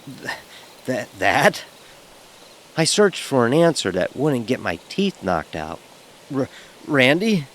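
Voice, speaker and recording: A man's voice: mid-range voice, sing-song, slow pace, pauses often, fairly bright tone, a little hiss or hum. An actor playing a frightened, sarcastic young fraternity pledge who narrates his story in a wry, vivid, emotionally charged way. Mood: nervous, stammering. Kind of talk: first-person dramatic horror audio narration. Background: music.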